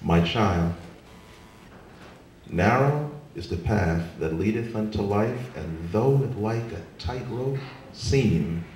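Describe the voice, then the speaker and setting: Echoey, slow-paced man reciting poetry. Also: on the low side, lively intonation. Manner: theatrical, emphatic delivery with big swells and hushed drops. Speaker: spoken word poet. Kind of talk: dramatic spoken word poetry recital. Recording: echoey room from far off, faint noise.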